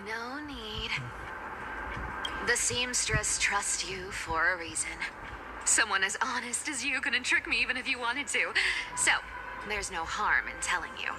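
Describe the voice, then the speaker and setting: A woman's voice: a little high, expressive, slow, pauses often, low-quality recording. A woman playing a playful, teasing young trickster demigod and treasure hunter in a video game. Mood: breezy, amused. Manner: teasing, breezy, giggly. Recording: music under the voice. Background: game music.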